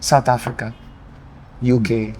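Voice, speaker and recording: Middle-aged Kenyan pastor, ex-dancehall artist; warm, dark voice, emphatic and animated in conversation. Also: male voice, mid-range voice, a little slow, slight background noise. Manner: emphatic, builds up excitedly when boasting. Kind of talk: casual interview talk.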